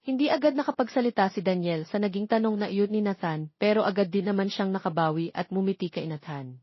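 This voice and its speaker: Babaeng tagapagsalaysay, medyo paos at kulob ang tunog. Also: female voice, mid-range voice, a little fast, dry recording. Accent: Filipino. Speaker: audiobook narrator. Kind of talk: scripted narration of a romance audio story. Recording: dull, lo-fi but dry recording.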